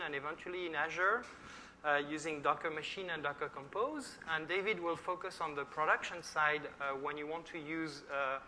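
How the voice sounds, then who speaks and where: A man's voice: a little high, bright, forceful tone. A middle-aged man from France with a French accent, giving a friendly, chatty conference talk with many fillers and questions to the audience. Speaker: conference speaker. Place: conference hall. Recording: reverberant hall with faint background noise.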